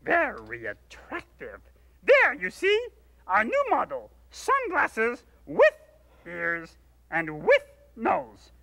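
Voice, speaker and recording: A man's voice: extremely high pitch, wildly swooping pitch, slow, vocal fry, pushed and bright, full character voice. An actor playing a furry blue monster salesman who pushes and over-explains his sunglasses. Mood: pushy. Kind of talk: puppet comedy sketch.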